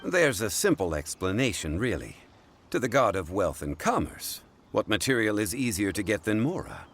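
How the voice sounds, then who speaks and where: An actor playing a calm, learned man of measured wisdom in a video game, speaking in a courteous, unhurried way. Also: lowish voice, husky, full character voice. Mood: composed. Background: game music.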